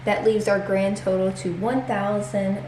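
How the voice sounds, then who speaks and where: A congested woman talks casually through her weekly budget, reading out numbers at an unhurried pace. Her voice sits at a middle pitch and rings a little in an echoey room, with a faint hum of noise behind her. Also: little pitch movement, a little mellow. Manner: chatty, reads out figures slowly. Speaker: budgeting channel host.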